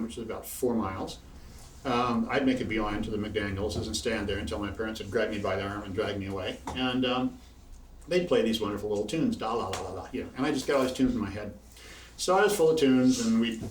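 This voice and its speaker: A man in his sixties from Indiana telling rambling, chatty stories between tunes at a house concert. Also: medium pitch. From Bloomington, Indiana. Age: 60s. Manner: rambling, chatty, chuckling asides. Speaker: banjo player telling stories. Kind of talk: casual storytelling at a house concert. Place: living room at a house concert. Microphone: far camera in the room. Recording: roomy, distant, faint room noise.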